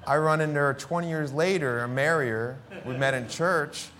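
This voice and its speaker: Man, middle-aged, Philadelphia accent, pastor, fervent sermon, loud to hushed, higher-pitched voice. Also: fairly even intonation, moderate pace, a little hiss or hum. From Philadelphia inner city.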